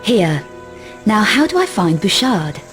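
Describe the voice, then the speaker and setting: A woman's voice: medium pitch, big pitch swings, unhurried. An adventuress with a mid-range voice, self-assured and sardonic. She delivers lines with dry, cool menace, as in a video game cutscene, over faint music. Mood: cool, pushy.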